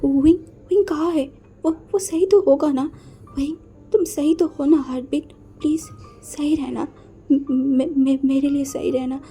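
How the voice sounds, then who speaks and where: A desperate, lovesick young man, as a woman narrator puts him on, stumbling over his words as he cries. The voice sits high and soft, with a dark, mellow tone and faint music underneath. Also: fairly even intonation, a little slow. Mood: pleading. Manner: stammering, pleading.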